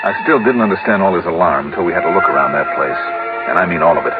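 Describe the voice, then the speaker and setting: An actor playing a sharp, skeptical male private investigator in an old-time radio drama, brisk, clipped and coolly probing. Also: low-pitched, animated, phone-quality sound. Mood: suspicious, reflective. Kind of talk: old-time radio detective drama acting and first-person narration. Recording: band-limited vintage radio with music.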